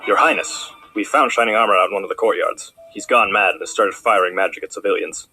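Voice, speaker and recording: A man playing a sardonic, formal pony royal guard with a pointed delivery in an animated fan drama. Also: fairly low pitch, fast, background noise, natural voice. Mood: urgent.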